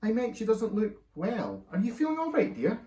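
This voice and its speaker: A man's voice: high-pitched, wildly swooping pitch, moderate pace, warm tone, voice pushed up. A man doing a jolly, bossy dad. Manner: jolly, commanding like a sergeant. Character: cheerful bossy dad, father of four. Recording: roomy echo.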